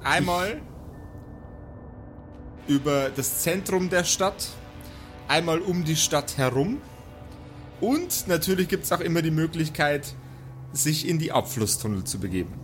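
Higher-voiced man narrating a tabletop game. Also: big pitch swings, a little slow, long pauses. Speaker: game master. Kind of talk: live improvised tabletop role-play narration. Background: prominent background music.

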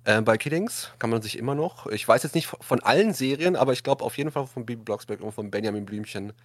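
A man's voice: mid-range voice, fast talker, no room sound. Casual male host, dry close sound. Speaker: podcast and radio show host. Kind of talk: casual chat, moderating a discussion.

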